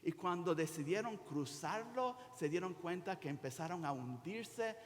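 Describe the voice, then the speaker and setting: A man in his sixties with a Venezuelan Spanish accent, preaching as a pastor in an informal, conversational way, often halting and repeating words. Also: high voice, animated. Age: 60s. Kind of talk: sermon with anecdotes and prayer, conversational. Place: church hall during a service. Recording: reverberant hall.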